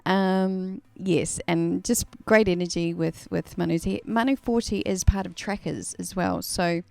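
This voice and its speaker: A woman's voice: on the low side, unhurried, gravelly, a little mellow, no room sound. A woman from New Zealand with a New Zealand accent tinged Australian, chatting casually on a live stream, laughing often and using many fillers. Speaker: singer hosting a live stream.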